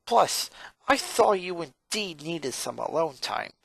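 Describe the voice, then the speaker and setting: A performer voicing a four-year-old girl genius from a cartoon fan story, monotone and sarcastic, with pedantic, wordy phrasing. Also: medium pitch, slow, creaky, husky, no echo, full character voice. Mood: irritated.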